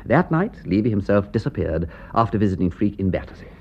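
An actor playing an aristocratic English gentleman detective in a radio drama, with an upper-class English accent and an urbane, emphatic way of talking. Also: lowish voice, big pitch swings, quick pace, a little hiss or hum. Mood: urgent, persuasive. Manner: urbane, emphatic, drawling question tags. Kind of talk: British radio drama acting. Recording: dull band-limited radio recording.

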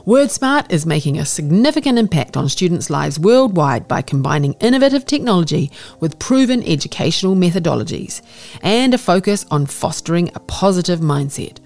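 Lively low-voiced woman reading an article. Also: fairly quick, creaky, soft background music. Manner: even, formal read-aloud delivery. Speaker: narrator.

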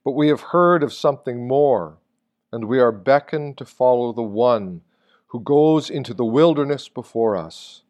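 Slow, solemn man reading a sermon. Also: medium pitch, a little mellow, highs cut off. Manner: solemn, deliberate, with rhetorical questions. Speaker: reader of a Lenten meditation. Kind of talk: sermon, read reflectively from a text.

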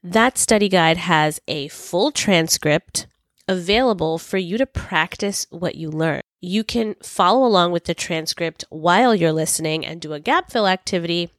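A woman hosting a podcast English lesson, speaking clearly and patiently while recovering from a cold. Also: on the low side, normal speed, no echo, clean studio sound. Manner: clear, patient teaching delivery. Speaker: English teacher and podcast host.

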